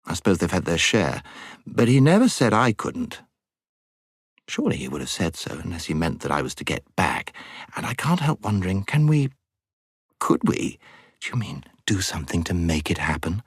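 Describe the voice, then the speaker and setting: A man's voice: deep voice, big pitch swings, normal speed, breathy edge, no room sound, studio quality, full character voice. A man voicing a solemn, know-it-all schoolboy with an English accent in an audiobook. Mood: wondering, hopeful. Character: earnest, slightly bossy English schoolboy. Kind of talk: audiobook character voice.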